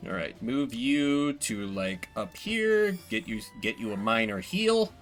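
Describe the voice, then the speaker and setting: Lively, bright-voiced man commentating a game. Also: on the low side, slow pace, faint music behind. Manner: wry, playful, swears casually. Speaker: video game streamer. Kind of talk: casual gameplay commentary.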